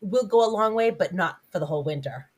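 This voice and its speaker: A woman on a movie-review podcast with a lowish voice for a woman. She walks through scenes thoughtfully and leans on "like" and "you know." The delivery is relaxed and conversational. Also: fast talker. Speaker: podcast co-host. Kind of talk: casual movie-review chat.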